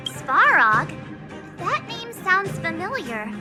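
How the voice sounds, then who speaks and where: A small, cheeky girl from a video game, speaking in a very high voice. Her delivery is playful and exclamatory, unhurried yet lively in pitch, with music playing clearly behind her. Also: heavily acted. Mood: curious. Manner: teasing, exclamatory, childlike.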